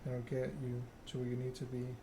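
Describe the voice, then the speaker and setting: Man playing a menacing, flat-toned vampire enforcer. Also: medium pitch, brisk, close to an everyday voice. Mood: cold warning. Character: menacing, curt male vampire enforcer, coldly controlled. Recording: clear background noise.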